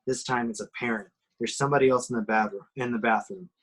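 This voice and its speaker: A man's voice: medium pitch, steady tone, fast, fairly bright tone. A man reading screenplay action lines dramatically at a table read, punching out the sudden action words. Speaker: reader of the action description.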